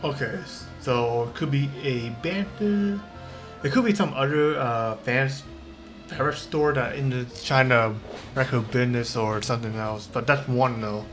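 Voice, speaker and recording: A woman streamer giving casual, halting reactions as she comments on a game. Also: very deep voice, faint music behind. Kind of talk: casual live gameplay commentary.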